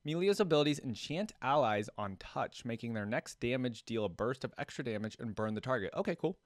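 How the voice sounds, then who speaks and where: A man's voice: mid-range voice, animated, a little fast, no room sound, clean studio sound. A man hosting a reaction video, commenting casually, reading things out and talking directly to his viewers. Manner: conversational, addresses viewers directly. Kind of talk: casual commentary, reading on-screen text aloud.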